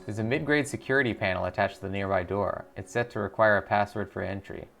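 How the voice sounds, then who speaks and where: A man with a fairly low voice and plenty of vocal fry hosts a gaming video. He comments casually and mutters through his thinking, reading game text aloud. Faint music plays behind him. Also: fast, fairly bright tone.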